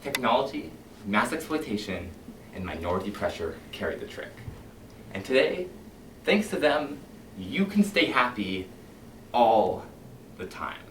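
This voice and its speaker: A man performing a cynical middle-aged fire chief in a competition monologue, lecturing his firemen with sarcasm and swinging between loud and hushed delivery. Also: mid-range voice, sing-song, measured pace, long pauses. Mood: sardonic. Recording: echoey hall heard from far off, faint hiss.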